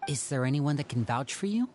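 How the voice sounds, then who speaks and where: A man's voice: medium pitch, big pitch swings, brisk, a little mellow, slight background noise, lightly acted. A man voice acting a theatrical young magician and protective elder brother in a video game, speaking in a coaxing way. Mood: probing, thoughtful.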